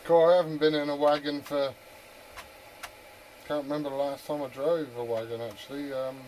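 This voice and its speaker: A man's voice: a little high, flat delivery, moderate pace, a little mellow. A British man chatting casually over CB radio, often asking short questions. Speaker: CB radio operator. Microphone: two-way radio microphone. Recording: very noisy CB radio transmission.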